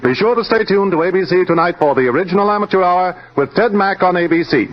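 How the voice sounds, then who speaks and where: A man's voice: sits high, lively intonation, quick pace. A man reading a scripted 1950s radio network promo in a formal announcer's manner. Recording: dull old radio recording.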